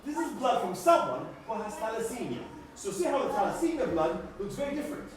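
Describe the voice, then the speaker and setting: A man's voice: very high voice, big pitch swings, a little fast. A man playing a kindly, flustered scientist doctor who explains things emphatically and repetitively, with stammers, in a live children's puppet show. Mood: earnest, flustered. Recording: echoey room, distant mic, faint noise.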